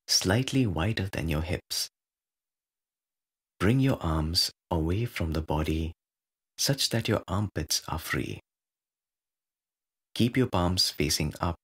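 A man with a low, creaky and husky voice leads a guided meditation. He is calm and measured, giving soothing instructions in short phrases with long pauses between them.

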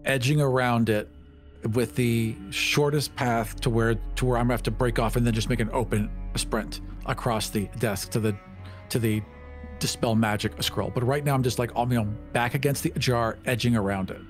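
A man's voice: medium pitch, steady tone, normal speed, soft background music. A man casually describing his character's actions as a player in a tabletop game.

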